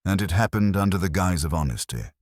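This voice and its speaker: A man voicing a gruff, haughty, irritable genius detective in an audiobook, with clipped, pompous, formal speech. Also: low voice, lively intonation, a little fast, darkish tone, dry recording, clean studio sound, pitched above the performer's own voice. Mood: solemn indignation.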